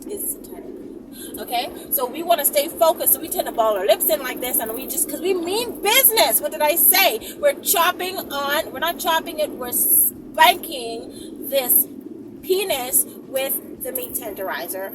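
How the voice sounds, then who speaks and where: High-pitched sassy woman, noisy distant recording. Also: animated, a little slow. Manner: emphatic, sassy, repeats phrases and checks with "okay?". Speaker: vlog host. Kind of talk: casual rambling vlog talk to viewers. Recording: distant phone mic, loud vehicle noise.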